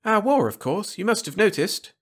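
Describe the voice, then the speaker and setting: An eager male alien in a science fiction story, acted out by a man. The voice is pitched far up and sounds boastful and proud, keen to show off to visitors. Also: big pitch swings, normal speed, slightly rough, heavily acted. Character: proud, grinning male alien showing off his planet's war.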